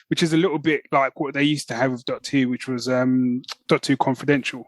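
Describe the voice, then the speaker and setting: A man with a medium-pitched voice co-hosts a casual podcast. He speaks as an enthusiastic fan, informal and chatty, often checking that the listener follows. The sound is dry, with no room echo. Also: level tone, fast. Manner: chatty, informal, often checks in with "right?" and "you know what I mean". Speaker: podcast co-host.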